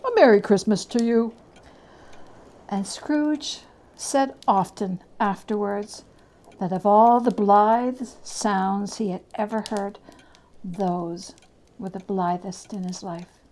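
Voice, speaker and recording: A woman narrating a classic story as a dramatic reading, with expressive, deliberate phrasing. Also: medium pitch.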